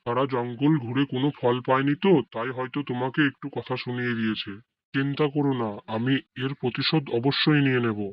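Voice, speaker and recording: A man's voice: medium pitch, fairly even intonation, fast talker, close to an everyday voice. Man as a bossy cartoon crow, muffled recording. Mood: reassuring, plotting. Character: bullying, greedy male crow, protective of his mate. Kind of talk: children's cartoon voice acting. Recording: dry, dull lo-fi studio recording.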